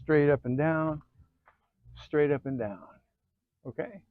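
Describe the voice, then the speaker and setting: An American man giving a casual, folksy how-to, often checking in with a quick okay or all right. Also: on the high side, no echo, narrow, tinny audio. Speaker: host of an off-road vehicle DIY channel. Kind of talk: casual how-to chat to camera.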